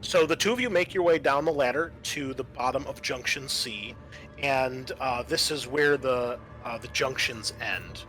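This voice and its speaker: A man narrating a tabletop roleplaying game as game master, improvising and thinking aloud with hesitant pauses. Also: mid-range voice, a bit bright, soft background music.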